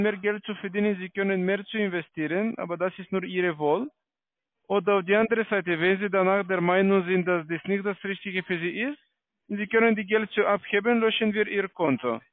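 A man with a foreign accent and non-native German, working through a stilted, formal sales script as a call-centre agent. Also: high-pitched. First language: not German. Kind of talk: scripted phone sales pitch. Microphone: telephone. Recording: lo-fi muffled phone call.

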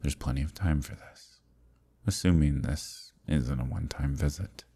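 A man playing an ancient, imprisoned eldritch villain in an ASMR roleplay, seductive and lonely, with a deep, breathy voice and an intimate, teasing manner. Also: normal speed, mellow, exaggerated voice. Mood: sly, curious. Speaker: voice actor.

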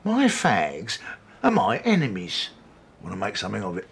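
An actor playing a grumpy, conceited, bullying older chief inspector in a radio drama, blunt and sarcastic, with a gravelly voice. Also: male voice, fairly low pitch, wildly swooping pitch, normal speed, heavily acted.

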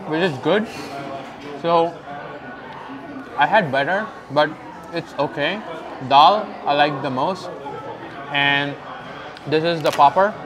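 A young man hosting a food vlog, speaking on a lapel mic in a restaurant dining room and describing each dish casually as he tastes it. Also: a little high. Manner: relaxed, describes each dish while tasting and chewing. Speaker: food vlogger host. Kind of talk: casual food review narration. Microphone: clip-on lapel mic. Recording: restaurant crowd chatter behind. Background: diners chatting.

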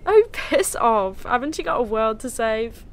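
A woman's voice: fairly high pitch, expressive, unhurried, a little hiss or hum. A woman playing a blunt, affectionate middle-aged companion in an audio drama. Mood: exasperated, teasing. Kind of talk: full-cast audio drama acting.

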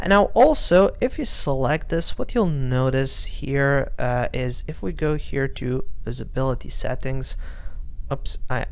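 A man in his thirties talks through a screen-recorded software lesson at a middle pitch. He explains each step in a loose, relaxed way and fills his pauses with "uh". He is recorded close on a studio mic in a small home office. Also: creaky. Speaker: architecture software instructor. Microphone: studio mic on boom arm, close.

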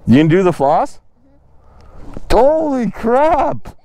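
A man's voice: extremely high pitch, very animated, slow, dark tone. A young man vlogging from his motorcycle on a residential street, casual and joking, laughing often. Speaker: motovlogger. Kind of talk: casual vlog chat. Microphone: helmet-mounted action camera.

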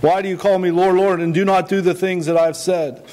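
A man's voice: sits high, little pitch movement, brisk, mellow. A man in his forties preaching a sermon and reading scripture, often repeating words and stumbling as he goes. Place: indoor church, at a wooden pulpit.

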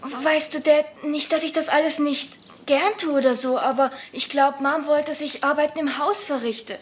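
A woman's voice: high-pitched, fairly even intonation, moderate pace, background noise, close to an everyday voice. An actress in a German sitcom dub playing a reluctant teenage daughter, whiny and hesitant in her complaints. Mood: pleading, miserable.

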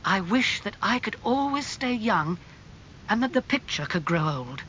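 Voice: medium pitch, unhurried, pushed and bright, dull audio, a little hiss or hum. A narrator playing a vain, anguished young man obsessed with beauty in a dramatised audiobook. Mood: bitter, impassioned.

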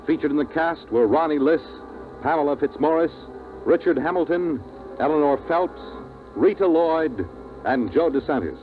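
An American man announces in lively, swooping network-radio style of the fifties. His voice sits mid-range on a tinny recording, with music underneath. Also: a little high, normal speed.

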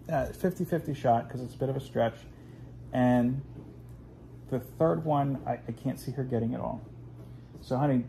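A man's voice: medium pitch, gravelly, warm tone, a little hiss or hum, rough recording. A man in his forties, hosting a casual home video, talking in a rambling, chatty way with plenty of fillers. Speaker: host. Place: living room at home, indoors.

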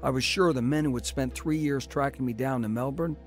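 An earnest middle-aged man narrates his story in a steady, measured way. A music bed plays clearly underneath. His voice sits at an ordinary pitch. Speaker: narrator and lead. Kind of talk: first-person dramatized narration.

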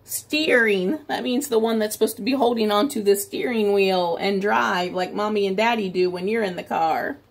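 A woman's voice: mid-range voice, normal speed, vocal fry, hardly any pauses. A middle-aged woman reading a picture book aloud to young children as a teacher, expressively stretching story phrases and adding simple explanations. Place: small room at home, indoors by a window.

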